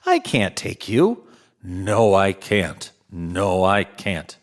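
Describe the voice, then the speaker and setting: A man acts out a big, strong storybook engine. It sounds huffy and self-important, speaking emphatically with lively pitch. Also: very slow, exaggerated voice. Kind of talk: children's storybook read-aloud.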